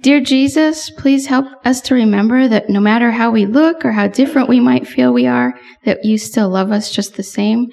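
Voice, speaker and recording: A woman gently leading a group in prayer. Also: a little high, moderate pace, vocal fry, hardly any pauses. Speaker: prayer leader.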